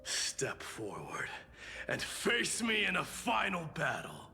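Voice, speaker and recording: An actor playing a proud, battle-hungry demigod warrior in a video game, declaiming in a grandiose, solemn way. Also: male voice, a little high, wildly swooping pitch, slow, gravelly, exaggerated voice. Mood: solemn, challenging. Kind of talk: dramatic video game voice acting. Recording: long reverb, echo, loud music behind.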